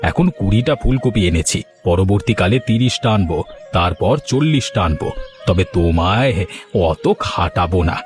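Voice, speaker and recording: A man's voice: low voice, expressive, moderate pace. A man playing a talkative, coaxing biryani eatery owner and doting husband in a Bengali cartoon. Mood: coaxing, affectionate. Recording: music behind the voice.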